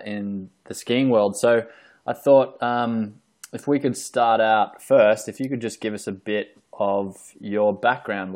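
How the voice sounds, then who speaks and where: A man's voice: on the low side, a little mellow. A man hosting a podcast interview, asking loose questions full of fillers and restarts. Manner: hesitant, filler-heavy questions. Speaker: podcast host. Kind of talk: casual interview. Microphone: online call recording.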